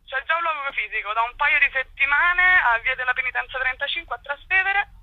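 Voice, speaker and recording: A woman's voice: sits high, a little fast, pushed and bright, no echo, dull audio. A young woman speaking conversationally as a radio interview guest, explaining things while searching for words. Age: young adult. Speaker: cultural association spokesperson, interview guest.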